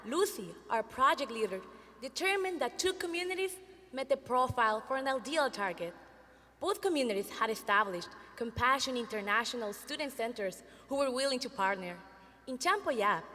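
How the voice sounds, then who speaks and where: A woman from El Salvador giving a rehearsed student team presentation in a clear, measured way. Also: on the high side, pushed and bright, big-room sound. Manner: clear, measured delivery of prepared lines.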